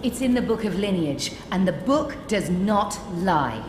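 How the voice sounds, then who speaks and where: An actress playing a proud young adventuress in a fantasy game, sardonic, bitter and secretive. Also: female voice, medium pitch, animated, measured pace, background noise, lightly acted. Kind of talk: fantasy game cutscene acting. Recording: echoey hall with music underneath.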